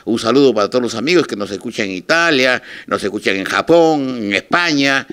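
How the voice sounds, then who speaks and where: An older man with a Peruvian Spanish accent speaks haltingly and informally in an interview, addressing his listeners. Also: mid-range voice, expressive, a bit bright. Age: 60s or older. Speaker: radio station manager, interviewee. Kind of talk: informal televised statement to listeners. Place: small office, indoors. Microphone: handheld reporters' microphones held close.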